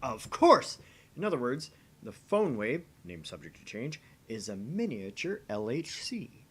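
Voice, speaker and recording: A young mad-scientist type played by a man, grandiose and theatrical. His mid-range voice moves widely in pitch, suited to visual novel declarations and introspective narration. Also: very animated, a little slow, slightly rough. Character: theatrical, pompous young self-styled mad scientist, inwardly anxious.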